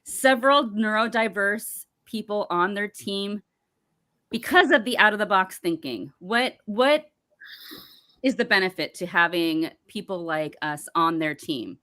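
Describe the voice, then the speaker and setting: A woman's voice: mid-range voice, measured pace, sharp tone. A woman hosting an interview, enthusiastic and affirming, over a video call. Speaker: host. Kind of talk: casual interview.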